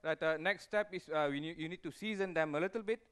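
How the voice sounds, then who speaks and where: A man's voice: on the high side, quick pace, fairly bright tone, clean tone. A man, an executive chef talking through a live cooking demonstration, chatty and full of fillers and restarts. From Malaysia. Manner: chatty, explains steps with many fillers and restarts.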